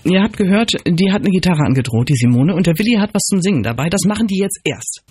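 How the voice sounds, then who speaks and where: A woman's voice: lowish voice, lively intonation, fast, a little mellow, breathy edge, no room sound, faint music behind. A woman presenting a community radio programme from a script as its host. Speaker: radio host, environmental association chairwoman.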